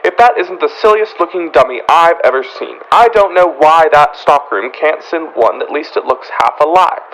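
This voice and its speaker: A man's voice: on the high side, lively intonation, normal speed, a bit bright, a little hiss or hum, rough recording. A man playing a fussy, haughty department store floorwalker, prim and pompous, in an old-time radio comedy. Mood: harried, disdainful.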